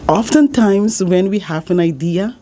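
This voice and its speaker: A woman's voice: medium pitch, animated, a little slow, mellow, slight background noise. A woman with a Dutch accent, a business support consultant from the Caribbean, earnestly giving advice in an interview and repeating her key points. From Saint Martin, Caribbean. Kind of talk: interview answers.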